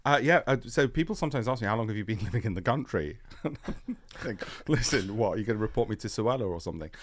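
A man in his fifties from Leeds, a podcast guest chatting casually in an animated, joking way with quick asides and frequent restarts, recorded in a podcast studio on a desk mic. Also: lowish voice, noisy background. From Leeds, England. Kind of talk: casual interview chat. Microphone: desk mic on a boom arm.

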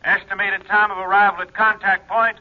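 An actor playing a high-voiced radar operator. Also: male voice, flat delivery, brisk, close to an everyday voice. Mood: precise. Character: male radar operator, precise. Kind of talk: 1950s radio drama acting. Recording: dull old radio recording.

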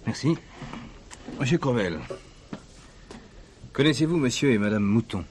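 An actor playing a composed, probing middle-aged police superintendent in a detective radio play, measured and authoritative. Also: male voice, medium pitch, lively intonation, background noise, natural voice.

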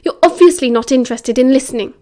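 A woman's voice: sits high, fast talker, mellow, lightly acted. A woman voicing a hot-headed, defensive teenage schoolboy in a story, snappy and sarcastic yet anxious. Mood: defensive, annoyed. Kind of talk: dramatised story reading.

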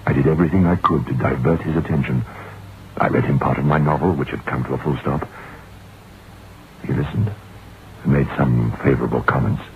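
An actor playing an anxious, haunted novelist in an old-time radio drama, speaking gravely and deliberately. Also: male voice, a bass voice. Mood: grave, brooding. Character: haunted, anxious male novelist narrating his ghost story. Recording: muffled, noisy old radio recording.

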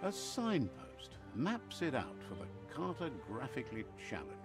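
A man's voice: medium pitch, wildly swooping pitch, normal speed. A man narrating a video game in a wry, theatrical storyteller manner. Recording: game audio played through stream, faint music.

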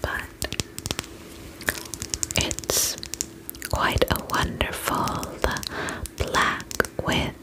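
A woman whispering in an ASMR role-play as a spa attendant, gentle, soothing and reassuring, speaking in short phrases with pauses. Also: rough recording. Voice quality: unvoiced whisper.

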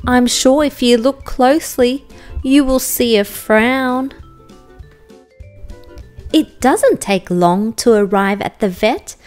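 A woman's voice: fairly high pitch, slow, pauses often, darkish tone. An Australian woman reading a children's picture book aloud in rhyme, expressively and with careful enunciation for young listeners. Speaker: storytime narrator and host. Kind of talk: children's picture book read aloud, scripted rhyming narration. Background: music.

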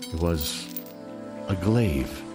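Deep-voiced male storyteller, measured. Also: lively intonation. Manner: measured, descriptive. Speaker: narrator of an audio drama. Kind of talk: scripted fantasy story narration. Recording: music under the voice.

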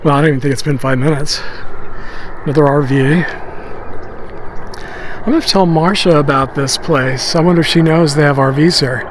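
A man's voice: a little high, normal speed, pauses often. An older man rambles and muses aloud in casual walking-tour chat, recorded outdoors on a handheld camera. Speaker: vlogger giving a walking tour. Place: outdoors, on quiet residential streets. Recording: outdoor ambient and vehicle noise.

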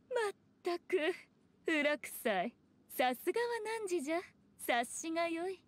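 Game role: teasing fox priestess, high-pitched, haughty, composed, speaking in archaic noble style. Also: female voice, sing-song, slow, full character voice. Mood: amused, teasing. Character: elegant, sly, teasing fox shrine maiden. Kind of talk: Japanese video game acting.

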